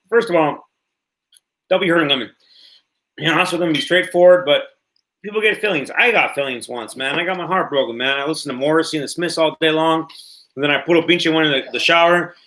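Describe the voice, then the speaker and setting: Host, late 30s, male, bright voice, slightly high, loose rambling delivery with casual swearing. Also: rough recording. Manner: rambling, swears freely, repeats himself. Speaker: podcast host. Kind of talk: casual livestream podcast chat.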